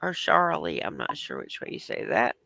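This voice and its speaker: A woman's voice: very deep voice, wildly swooping pitch, normal speed, vocal fry, no echo, clean studio sound. A woman, host of a casual livestream, talking in a chatty, rambling way with a stuffy, congested voice. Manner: chatty, rambling, greets viewers, soft laughs.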